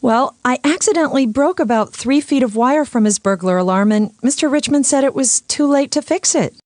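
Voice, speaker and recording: A woman's voice: sits high, fairly quick, darkish tone, dry recording, clean studio sound, natural voice. A woman voicing an earnest, literal-minded young female defendant in a cartoon comedy. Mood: earnest, worried. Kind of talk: animated comedy dialogue.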